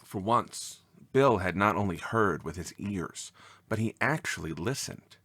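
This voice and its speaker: Deep-voiced man narrating a story, unhurried. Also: animated, bright, forceful tone, slightly rough, dry recording. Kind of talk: expressive scripted story narration.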